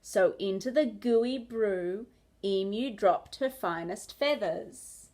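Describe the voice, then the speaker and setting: A woman storyteller reading a children's picture book aloud in expressive narration. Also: medium pitch, slow.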